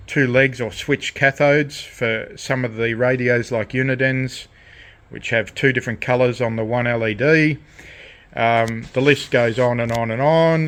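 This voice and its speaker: Slow-talking man, halting delivery. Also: medium pitch, a bit bright. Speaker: DIY electronics hobbyist presenting his project. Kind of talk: unscripted hands-on demonstration. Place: indoor radio shack workbench.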